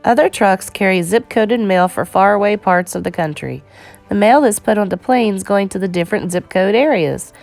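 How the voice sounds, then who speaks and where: A woman reading an educational children's picture book aloud in a measured, clearly phrased storytime delivery. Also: medium pitch, a little mellow, soft background music.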